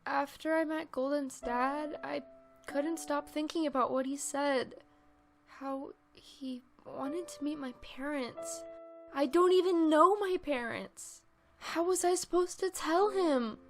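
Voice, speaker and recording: Woman voicing a high-pitched anxious young pony filly. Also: slow pace, soft background music. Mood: troubled. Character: anxious, embarrassed young filly who ran away. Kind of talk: animated pony fan-drama acting.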